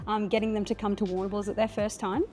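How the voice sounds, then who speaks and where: A woman's voice: medium pitch, little pitch movement, quick pace, a little mellow. A woman interviewing a musician in a friendly, chatty way. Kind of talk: casual interview.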